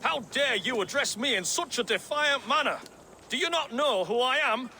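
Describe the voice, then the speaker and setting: High-pitched, nervous, stuttering impostor lord. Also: male voice, normal speed, pushed and bright, slight background noise. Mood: blustering. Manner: fussy, florid wording, blustering. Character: nervous, wordy, cowardly male impostor posing as a lord. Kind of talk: video game character acting.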